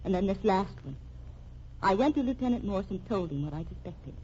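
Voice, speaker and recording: A woman's voice: mid-range voice, expressive, a little fast, a little mellow, natural voice. A woman playing a cool, accusatory wife in a vintage radio mystery drama. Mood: cool, insistent. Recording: dull, noisy old radio recording.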